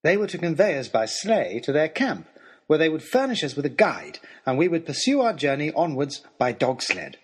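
An earnest gentleman adventurer recounts his travels as a story for his lady. His voice sits a little high and swoops expressively, with a husky edge. The phrasing is formal, wordy and old-fashioned, full of eager devotion. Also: very animated, normal speed. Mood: enthusiastic. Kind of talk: dramatised first-person storytelling, as a letter to a lady.

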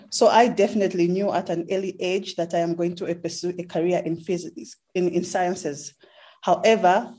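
A woman's voice: fairly low pitch, warm tone. A woman in her thirties with a Zambian English accent, answering interview questions in a conversational way. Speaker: interview guest, astrophysics researcher.

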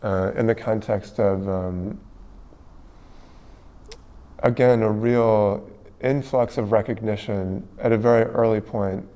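A man with a somewhat low voice hosts the interview. He builds long, ornate questions in a musing tone. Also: fairly even intonation, slow, pauses often, dark tone. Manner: musing, elaborate phrasing.

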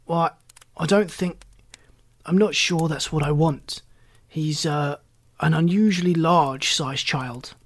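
A narrator voicing a nervous, incredulous, flustered gentleman father in a storybook reading. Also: mid-range voice, takes it slowly, pauses often. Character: frantic, horrified, flustered new father, a gentleman.

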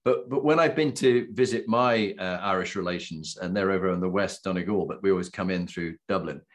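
A man's voice: low voice, a little fast. A man hosting a conversational interview, speaking in an effusive, complimentary manner. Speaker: interviewer and host. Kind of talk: warm-up interview chat.

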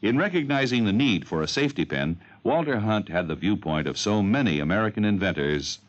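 A muffled vintage radio broadcast. A male announcer with a deep voice reads formal scripted copy in a measured, declamatory way, his intonation rising and falling widely. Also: expressive.

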